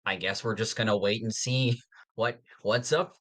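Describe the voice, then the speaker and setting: A man's voice: fairly low pitch, fairly even intonation, moderate pace, fairly bright tone. A man co-hosting a podcast in a casual, conversational style. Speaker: podcast co-host.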